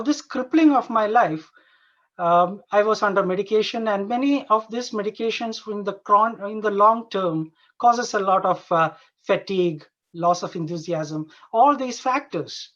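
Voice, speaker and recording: A man from Dubai giving an informal conference presentation as an Ayurveda doctor, earnest and halting, often repeating and rephrasing his phrases. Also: high voice, a bit bright. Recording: dull, lo-fi online conference audio.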